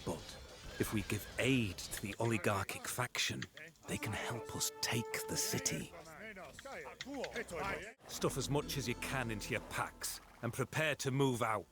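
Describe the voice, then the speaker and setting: An actor playing a ruthless, bitter, glory-hungry Spartan admiral, commanding and contemptuous in a theatrical video game style. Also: male voice, mid-range voice, measured pace, loud music behind the voice, close to an everyday voice. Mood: stern, commanding. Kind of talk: dramatic video game acting.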